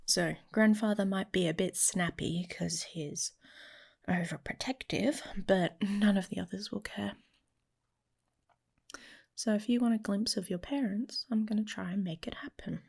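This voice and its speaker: Woman playing a slow, husky, whispery she-wolf lover. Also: mid-range voice. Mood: protective, teasing. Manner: soft, often whispered, casual and teasing. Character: protective, teasing, pessimistic female werewolf mate. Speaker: audio roleplay performer. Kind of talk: intimate ASMR romance roleplay.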